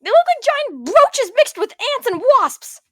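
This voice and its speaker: A woman's voice: very high-pitched, very animated, measured pace, background noise. A woman playing a tabletop roleplay game, casually narrating what her character does and speaking its lines.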